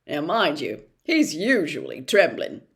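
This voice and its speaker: A woman's voice: low-pitched, sing-song, a little slow, voice pushed down, heavily acted. A woman voicing a huge friendly man who talks in a blunt, hearty, homely way.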